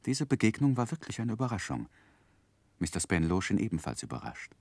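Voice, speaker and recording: An actor playing an earnest, romantic gentleman narrating his own youth in measured, literary for a 1960s radio drama. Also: fairly low pitch, very animated, creaky, no echo, heavily acted. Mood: wry, reflective. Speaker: narrator and main character. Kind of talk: dramatic first-person narration, 1960s German radio play.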